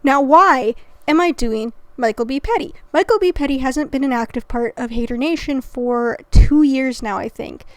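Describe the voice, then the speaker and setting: A woman hosting a reaction video, talking in a rambling, self-interrupting and passionate way, clearing her throat as she recovers from an illness. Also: sits high, normal speed, few pauses, no room sound. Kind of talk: casual commentary.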